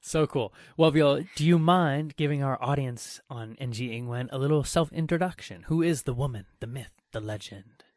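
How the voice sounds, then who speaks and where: Male host, casual interview read, effusive and chatty, average pitch, dry close sound. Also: normal speed, few pauses, a little mellow, no room sound. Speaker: show host.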